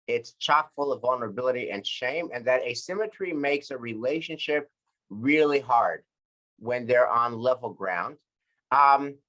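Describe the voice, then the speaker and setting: A man's voice: mid-range voice, bright, forceful tone, low-quality recording. An American man leading a group book discussion in an earnest, reflective way, often repeating and rephrasing his points.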